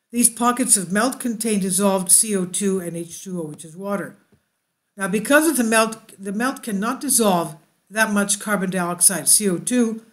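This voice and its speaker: Woman reading science news, hesitant asides. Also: medium pitch. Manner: hesitant asides with fillers and self-corrections. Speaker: YouTube news host.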